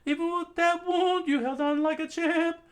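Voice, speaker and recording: Girl doing a loud cocky young dude, high and shouty. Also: fairly even intonation, normal speed. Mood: boisterous. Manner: loud, boisterous. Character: brash young male fighter, loud and cocky.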